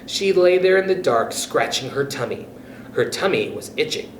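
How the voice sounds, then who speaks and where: A man reading a children's story aloud as a storyteller, in an animated, expressive way. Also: mid-range voice, distant mic. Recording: roomy echo with faint background noise.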